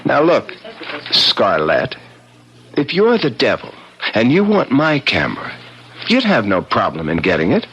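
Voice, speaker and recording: A man's voice: medium pitch, wildly swooping pitch, unhurried, long pauses, a bit bright, husky, exaggerated voice. A man playing a headstrong, ambitious young man, insistent and argumentative, in 1970s radio drama style. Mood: defiant, suspicious.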